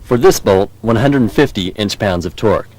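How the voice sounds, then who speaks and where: A low-pitched American man narrates an instructional film. His delivery is measured and formal, explaining each step as written in a script. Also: animated, darkish tone, low-quality recording. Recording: 1990s VHS training film soundtrack.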